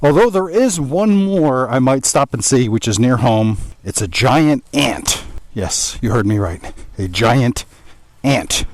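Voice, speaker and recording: Male ride-vlog host. Very lively intonation, casual and playful. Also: mid-range voice, takes it slowly, dry recording.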